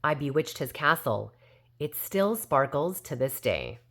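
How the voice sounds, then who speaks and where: Woman acting an old female fairy, low-pitched, very animated, indignant, self-assured, well-meaning, storybook character. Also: measured pace, exaggerated voice. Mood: haughty, proud.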